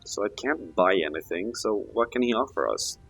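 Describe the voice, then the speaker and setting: A man's voice: lowish voice, animated, normal speed, a bit bright. A man chatting casually while playing a co-op video game. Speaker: gamer, co-op let's play commentator. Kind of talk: casual gameplay chat.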